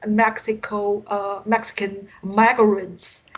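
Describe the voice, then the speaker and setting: A woman's voice: medium pitch, level tone, slow pace. A woman with a Chinese accent, a native Mandarin speaker, talking casually as an interview guest and often searching for words. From China. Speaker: guest, science fiction writer.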